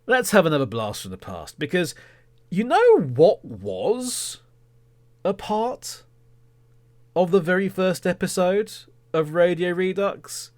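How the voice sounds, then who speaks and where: A man's voice: sits high, very animated, slow, a little mellow. A man hosting a podcast in casual solo talk, with a rambling, self-deprecating and mock-indignant humour. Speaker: podcast host.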